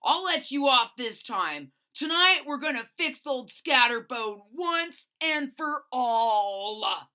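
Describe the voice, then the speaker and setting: A bossy young bully dinosaur from a storybook, acted out by a woman. The voice is high and bright, with forceful swings in pitch. He barks demands and threats at his gang. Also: lively intonation, takes it slowly, hardly any pauses, heavily acted. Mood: grudging. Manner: menacing, demanding.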